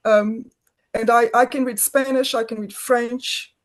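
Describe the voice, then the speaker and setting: A woman's voice: a little high, fairly even intonation, a little slow. A middle-aged woman from Brazil, a native Portuguese speaker, talking as a filmmaker guest in an interview, often restarting her phrases as she searches for words. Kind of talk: conversational interview.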